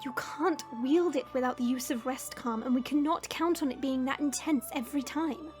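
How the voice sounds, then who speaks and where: A woman playing a stern, proud but caring fantasy warrior, speaking in a measured, formal and dry way. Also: high-pitched, a little mellow, soft background music, close to an everyday voice. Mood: firm, earnest. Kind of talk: fantasy audio roleplay acting.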